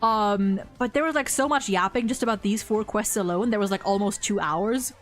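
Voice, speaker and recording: A woman hosting a livestream, chatting casually and excitably and swearing freely. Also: mid-range voice, moderate pace, faint music behind. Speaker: streamer host.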